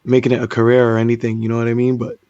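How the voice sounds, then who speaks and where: A man's voice: medium pitch, racing, dry recording. Man, laid-back, laughs easily, dull lo-fi sound. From Barbados. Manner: relaxed, laughs easily, loose fillers. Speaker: DJ and guest. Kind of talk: casual interview.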